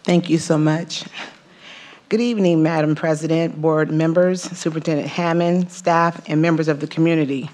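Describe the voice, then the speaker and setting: A woman, an assistant superintendent, giving a measured, formal introduction at a school board meeting. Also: low-pitched.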